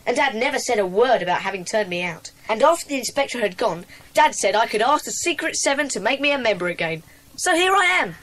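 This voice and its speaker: A woman's voice: on the high side, lively intonation, fast, a bit bright. A woman playing an eager, plucky schoolboy in a dramatised children's audiobook, telling things earnestly and breathlessly. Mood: happy, hopeful. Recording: long echoing reverb.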